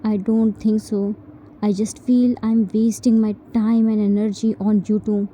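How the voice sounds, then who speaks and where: A woman's voice: mid-range voice, level tone, unhurried, mellow, a little hiss or hum, close to an everyday voice, rough recording. A woman voicing a strict, tired guardian who lectures and scolds with exasperation. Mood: angry. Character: strict, exhausted guardian brother, young man.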